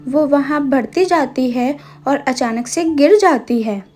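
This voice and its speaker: A woman's voice: high-pitched, a little slow, darkish tone, soft background music. A woman narrating a scripted cartoon story as the storyteller.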